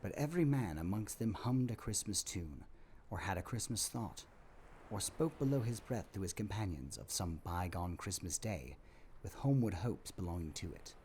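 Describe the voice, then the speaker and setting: A man narrating a scripted audio play as storyteller, in a dramatic, expressive manner. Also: low voice, normal speed, slight background noise.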